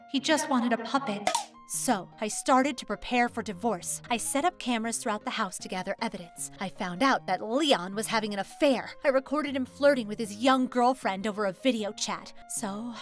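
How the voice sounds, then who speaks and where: A woman giving earnest, dramatic first-person narration of a scripted story. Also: a little high.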